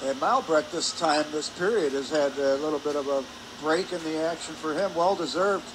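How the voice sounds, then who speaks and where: A man giving measured, explanatory color commentary during a live hockey broadcast. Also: a little high. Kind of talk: live sports analysis. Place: indoor ice hockey arena. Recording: noisy arena ambience behind.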